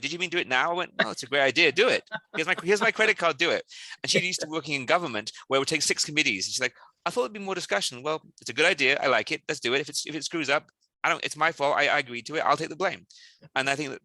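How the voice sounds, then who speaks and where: A man from South Wales, a company CEO answering interview questions in quick, animated stories full of restarts. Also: on the high side, no room sound, rough recording.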